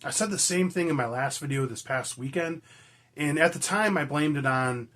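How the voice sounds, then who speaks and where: Raspy, hoarse man chatting about movies. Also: on the high side, normal speed, a bit bright. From Michigan, USA. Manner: enthusiastic, rambling, frequent fillers and mild swearing. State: hoarse from being sick. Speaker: movie collector vlogger. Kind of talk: casual chat to camera.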